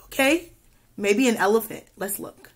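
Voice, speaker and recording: A young woman teacher reading a picture book aloud to children, expressively, pausing to ask questions and add asides. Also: a little high, expressive. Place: small indoor room with classroom wall charts.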